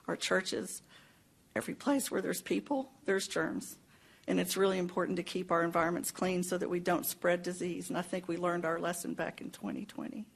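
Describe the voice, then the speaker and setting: A woman representing a cleaning business, giving friendly public remarks at a city council meeting, with a squeaky, husky voice.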